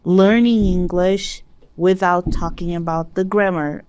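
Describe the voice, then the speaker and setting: Relaxed woman, dry close voice. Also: fairly low pitch, unhurried, clean tone, no echo. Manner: thoughtful, laughs easily, hesitant fillers. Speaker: English teacher. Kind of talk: casual podcast chat in English.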